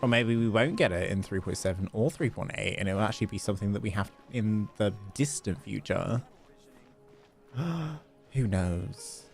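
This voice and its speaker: Man, lowish voice, very animated intonation, casual game stream commentary, faint music behind. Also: moderate pace, vocal fry. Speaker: game livestreamer. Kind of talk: casual live gameplay commentary.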